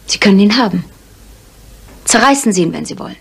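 Very husky, restrained young woman. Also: mid-range voice, animated, brisk. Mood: controlled. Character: controlled, determined young daughter of murdered innkeeper. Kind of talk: 1970s German radio crime drama acting.

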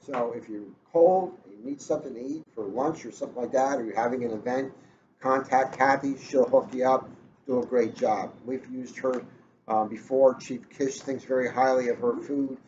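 An older man talks casually in short, plain phrases. His voice is of middling pitch. The webcam sound is slightly dull, with faint noise in the room. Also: normal speed, a little mellow. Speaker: police officer co-hosting. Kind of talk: casual chat. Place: indoors in a catering cafe. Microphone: webcam a short distance away. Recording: muffled, noisy webcam room recording.

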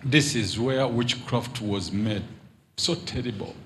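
A man's voice: lowish voice, takes it slowly, a bit bright. A middle-aged man speaking as a prophet to a congregation on a handheld microphone, in short repeated declarations. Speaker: prophet, preacher. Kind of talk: prophetic ministry before a congregation. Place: large hall with congregation. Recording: noisy live church hall ambience.

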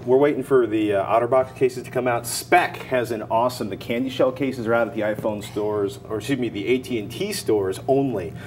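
A man's voice: medium pitch, brisk, hardly any pauses, a little mellow, a little hiss or hum. An American man in his forties co-hosting a tech show with casual, colloquial chat and wry asides. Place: indoor TV studio set. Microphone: lapel mic.

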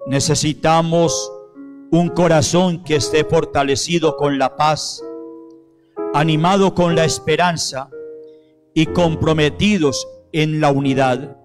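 Middle-aged priest praying at the altar, slow solemn phrasing, handheld mic, piano playing under him, voice a bit high. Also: male voice, fairly even intonation. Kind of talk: liturgical prayer and renewal of baptismal promises. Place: church sanctuary, at the altar. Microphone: handheld microphone. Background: soft piano music.

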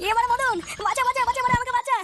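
A performer voicing an indignant young married man in a comedy cartoon, with a squeaky pitched-up voice and crude, exclamatory talk. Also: flat delivery, racing, heavy background noise. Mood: pleading. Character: Futo, hapless young married man, indignant and foul-mouthed. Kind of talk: exaggerated comedy cartoon dubbing.

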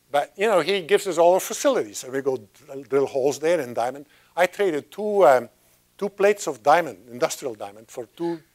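Older man giving a rambling science talk. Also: a little high. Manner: rambling, chatty, with many restarts and asides. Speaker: guest speaker. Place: lecture room at a podium. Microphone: lapel mic.

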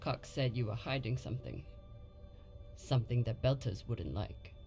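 Low-voiced, guarded spaceship captain. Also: female voice, animated, normal speed, warm tone, in a large hall, soft background music. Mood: probing. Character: guarded, hard-edged female spaceship captain. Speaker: game protagonist. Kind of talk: video game voice acting.

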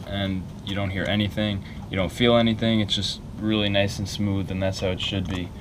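Low-voiced man, casual DIY tutorial. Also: a little hiss or hum. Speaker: video maker giving a repair tutorial. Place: small cluttered carpeted room indoors.

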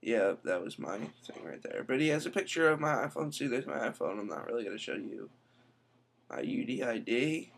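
A young man giving a casual, chatty tech tutorial, full of quick asides, recorded indoors on a laptop webcam mic. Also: mid-range voice, very animated, creaky. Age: young adult. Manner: chatty, quick asides, says "I'm telling you". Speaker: tech vlogger. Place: indoor room.

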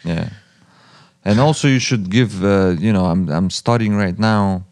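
Low, gravelly man from the former Soviet Union. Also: a little slow, darkish tone, no room sound, clean studio sound. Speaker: guest, master barber and museum owner. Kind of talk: conversational interview talk. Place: small podcast studio with acoustic foam. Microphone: close studio mic on boom arm.

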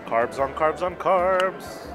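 A man's voice: on the high side, slow pace, music in the background. A man in his thirties reviewing a restaurant meal, gushing about each dish, recorded on a lapel mic at a busy indoor restaurant. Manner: enthusiastic, gushing about food. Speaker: food vlogger.

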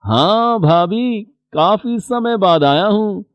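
A man's voice: sits high, expressive, slow, no room sound, lo-fi sound. A man voicing a jolly, chatty middle-aged bangle trader in a cartoon story. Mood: cheerful. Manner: hearty, chatty.

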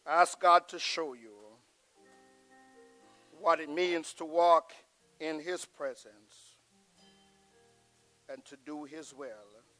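A middle-aged pastor preaching a sermon from the pulpit of a large church, swelling to loud emphatic peaks and dropping to a hushed prayerful close. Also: male voice, a little high, fairly even intonation, extremely slow, long pauses, faint music behind. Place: large church sanctuary with choir behind. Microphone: pulpit mic.

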